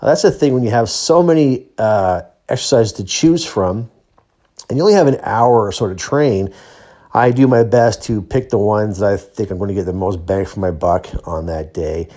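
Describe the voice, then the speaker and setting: Low-voiced middle-aged man narrating casually. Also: moderate pace, dark tone. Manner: plain-spoken, explanatory. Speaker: athlete and training vlogger. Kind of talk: casual voice-over narration of training footage.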